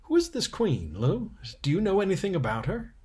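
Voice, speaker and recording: A man's voice: high voice, sing-song, brisk, warm tone, slightly rough, voice pushed up, exaggerated voice. A man voicing a cautious, practical older schoolgirl in a storybook, hesitant and worrying aloud. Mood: doubtful.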